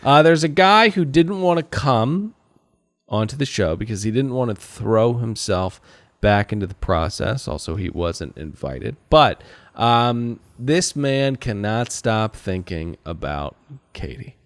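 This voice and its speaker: Male podcast co-host, casual comic recapper: sardonic and profane, very lively intonation, close dry sound. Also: medium pitch, unhurried, dry recording. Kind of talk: casual comedic recap chat.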